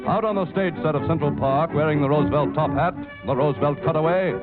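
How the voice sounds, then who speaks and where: A man's voice: a little high, fast talker. A man narrating news in a dramatic, declamatory 1930s radio newsreel style. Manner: declamatory, with inverted announcing phrases. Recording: tinny 1930s radio broadcast with music.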